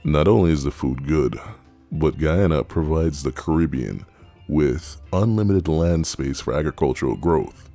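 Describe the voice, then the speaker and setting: A man narrating a travel documentary in measured, scripted voice-over. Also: very deep voice, mellow.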